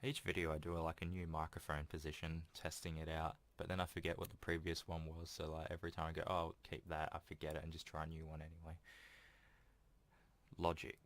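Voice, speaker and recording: A man's voice: rumbling low, monotone, quick pace, fairly bright tone. A young man doing casual let's-play commentary and reading game text aloud. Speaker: gaming YouTuber doing a playthrough. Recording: home recording with game music behind. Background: video game music.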